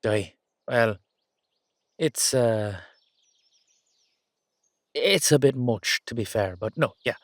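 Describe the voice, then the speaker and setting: Casting: male actor as youthful Dullahan boyfriend, Irish accent, mid-pitched, affectionate, teasing, rambling; intimate roleplay audio, dry recording. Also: expressive, slow, long pauses, no room sound. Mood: bashful, explaining.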